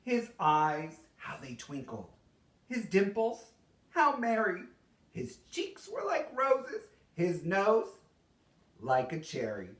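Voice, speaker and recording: Slow, highly animated male storyteller in roomy sound. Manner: dramatic, expressive storytelling with deliberate pauses. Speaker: storyteller reading a Christmas poem. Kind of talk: theatrical poetry recitation. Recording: roomy echo, distant microphone.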